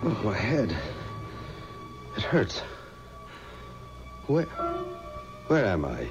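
A man's voice: on the low side, huge pitch swings, very slow, over loud music, full character voice. An actor playing a stubborn, God-fearing townsman in an earnest, insistent, theatrical 1970s radio drama style. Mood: dazed, wary. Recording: muffled old radio recording with noisy ambience.

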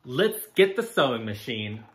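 A man voicing a caring, gently firm mother in a children's storybook. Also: medium pitch, very animated, a little slow. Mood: reassuring.

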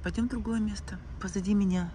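An older woman chatting casually for a vlog, musing in unhurried short phrases, recorded on a handheld phone. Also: medium pitch, steady tone, warm tone, slight background noise. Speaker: travel vlogger. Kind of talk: casual first-person vlog chat. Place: outdoors by the shore, in a car, in a cafe.

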